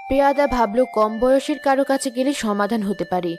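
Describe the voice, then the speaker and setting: A woman narrates a scripted fairy tale as storyteller. Her voice sits a little high, with faint music behind it. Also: a little fast, darkish tone. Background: background music.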